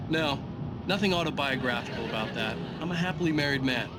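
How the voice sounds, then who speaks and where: A man's voice: medium pitch, expressive, a little fast, very noisy, close to an everyday voice. An actor playing a flippant, wry bestselling male novelist bantering as a talk show guest. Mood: glib. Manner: wry, glib.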